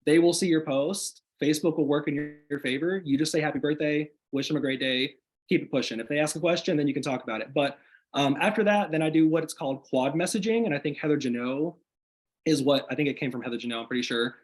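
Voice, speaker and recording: A man talks at a rapid clip in a somewhat high voice, his tone a little hoarse as he recovers from illness. He speaks energetically and motivationally, leaning on casual "like" fillers, heard through a video call microphone. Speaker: guest sharing business tips.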